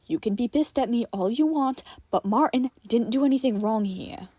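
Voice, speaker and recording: A woman's voice: on the high side, moderate pace, warm tone, breathy edge, no room sound. A woman voicing a tough, hot-tempered man in a story, firm and menacing. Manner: firm, growling.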